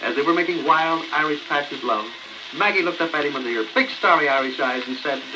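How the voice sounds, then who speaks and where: A man's voice: fairly high pitch, fairly quick. A man narrating a scripted comic story on a novelty record in a theatrical, folksy way. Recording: noisy crackling 78 rpm record.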